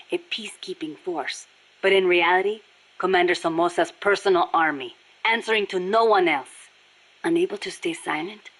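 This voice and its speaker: A woman's voice: fairly low pitch, natural voice, rough recording. A woman voicing a passionate, embittered Nicaraguan Sandinista guerrilla with a Spanish accent in a video game, speaking in a solemn, earnest way. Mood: solemn, proud. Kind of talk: scripted video game voice acting.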